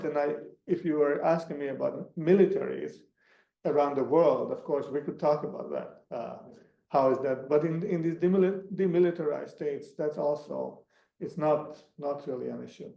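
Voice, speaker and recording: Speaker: man, Hungarian native, gravelly and mellow, fairly high voice, halting academic answers, echoey room. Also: muffled recording. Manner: halting, many restarts and fillers. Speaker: guest speaker. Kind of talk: academic talk answering questions. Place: lecture room.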